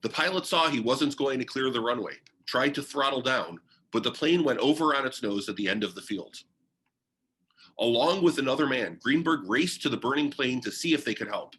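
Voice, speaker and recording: A man's voice: mid-range voice, lively intonation, moderate pace, a bit bright. A man reading a biography aloud as narration, in the style of a book for young listeners.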